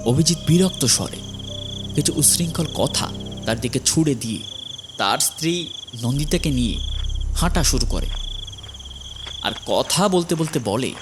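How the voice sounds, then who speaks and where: A man's voice: fairly high pitch, animated, moderate pace, pauses often, background noise. A man narrating a scripted horror story in a dramatic storytelling style.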